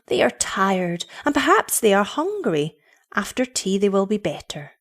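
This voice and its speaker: Loving young-children's mother, played by a woman narrator, tender and soothing, expressive rise and fall. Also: mid-range voice, unhurried. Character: gentle loving mother of small children, sadly firm.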